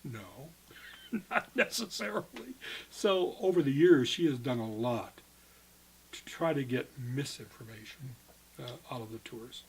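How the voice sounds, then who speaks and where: Older man, husky voice, retired cave guide, halting and digressive interview answers. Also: medium pitch, animated, low-quality recording. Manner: rambling, halting, self-correcting. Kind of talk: oral history interview.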